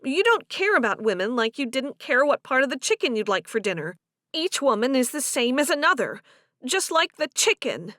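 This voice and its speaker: A woman voicing an earnest young woman in audiobook dialogue, shy yet spirited, with an expressive, questioning manner. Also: high voice, normal speed, no room sound, studio quality, voice pushed up. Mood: indignant.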